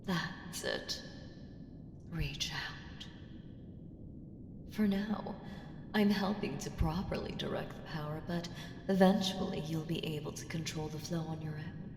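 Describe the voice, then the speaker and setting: A woman playing an ancient evil entity in an ASMR audio roleplay, coaxing and condescending, commanding and seductive, with deliberate delivery that slips into whispers. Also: deep voice. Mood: intense, commanding. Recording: roomy long reverb with clear background noise.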